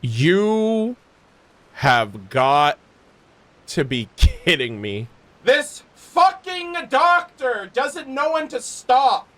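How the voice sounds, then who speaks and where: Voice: medium pitch, sing-song, drawn-out words, fairly bright tone. A game streamer reacting casually to a story as it plays, exclaiming in slang and swearing freely.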